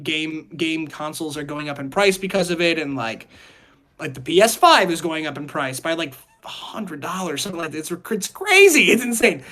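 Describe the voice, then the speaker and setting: A man hosting a casual chat show, talking in a rambling, halting way and often repeating his words. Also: on the high side, a bit bright. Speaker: podcast host.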